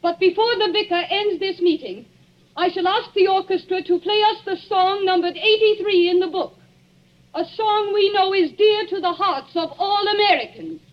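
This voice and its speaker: A woman's voice: very high-pitched, moderate pace. An actress playing a grand society lady addressing a village concert in a formal, declamatory, very proper manner, in old-time radio drama style. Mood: solemn. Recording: dull, noisy old radio recording.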